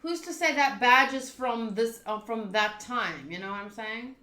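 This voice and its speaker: A woman's voice: a little high, moderate pace, sharp tone. A woman streaming a game, reading the story aloud and adding casual commentary. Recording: roomy echo, faint game music behind.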